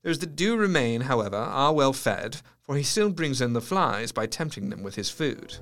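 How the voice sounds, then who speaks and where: A man playing an educated asylum doctor who dictates a clinical diary in a measured, precise way. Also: medium pitch, big pitch swings, a bit bright. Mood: thoughtful. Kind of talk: dramatised diary narration.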